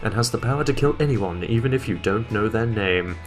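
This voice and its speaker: A man voicing a scheming young male villain with a calm, triumphant manner. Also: fairly low pitch, a little fast, soft background music. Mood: smug. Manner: calm, smug.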